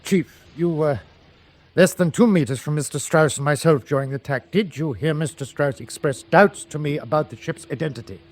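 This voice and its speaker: An actor playing a courteous but embattled submarine captain in his forties, formal and measured, in a wartime radio drama. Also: fairly high pitch, a little mellow, close to an everyday voice. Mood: urgent, commanding. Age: 40s. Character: forty-year-old German U-boat captain, courteous but embattled.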